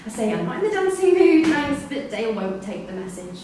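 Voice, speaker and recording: An actress in a live staged reading playing a disillusioned, confrontational prison worker with an emphatic, pointed delivery. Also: female voice, high-pitched, very animated, fairly quick, mellow. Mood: wry, bitter. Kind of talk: live theatre acting. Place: theatre performance space in Salford. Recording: echoey hall, distant mic, faint noise.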